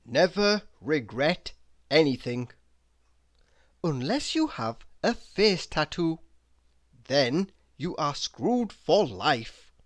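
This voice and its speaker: A person reading mock-inspirational quotes aloud in a deliberate, dramatic manner, pausing between phrases. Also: medium pitch, sing-song. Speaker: reader of quotes.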